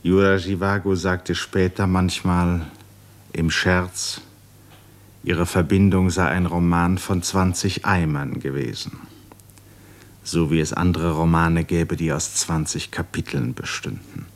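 A male narrator with a very low voice reads scripted literary prose. He tells the story of a radio play. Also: little pitch movement, takes it slowly.

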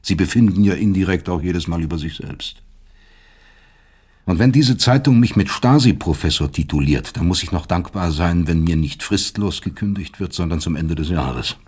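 Old professor in a radio drama, really deep n rough voice, proud, sardonic, lectures people. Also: male voice, expressive, normal speed, darkish tone. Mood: sardonic, bitter. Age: older. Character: proud, bitter old East German psychiatry professor. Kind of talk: German radio play acting.

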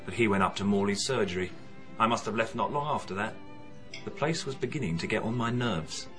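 A man's voice: fairly low pitch, a little fast, over music, lightly acted. An actor playing a cocky, evasive young British man in a radio drama, sulky and offhand.

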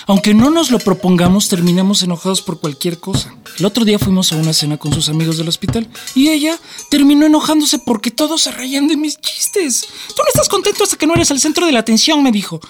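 A man's voice: high-pitched, expressive, a little fast. A man playing a touchy, boastful, sociable husband who drinks, in an aggrieved radio drama monologue. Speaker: actor in a radio play. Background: music.

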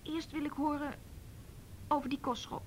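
An actress playing a defiant, hurt sixteen-year-old daughter in a Dutch radio drama, mouthy and indignant. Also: female voice, sits high, little pitch movement, normal speed, darkish tone, a little hiss or hum, close to an everyday voice. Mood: hurt, pleading.

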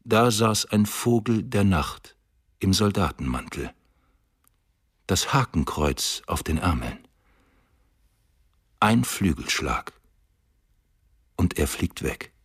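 A man with a very deep, husky voice reads war poetry at an unhurried, heavy pace. His delivery is solemn and measured, as in a radio documentary. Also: animated. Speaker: reader of translated war poetry. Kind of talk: slow solemn radio reading.

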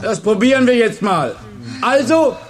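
Strict male schoolteacher, radio drama. Very high-pitched, commanding, clipped drill-style delivery. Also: animated, unhurried, music in the background. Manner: barking, clipped classroom orders. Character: strict commanding male history teacher drilling his class. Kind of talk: German radio play acting.

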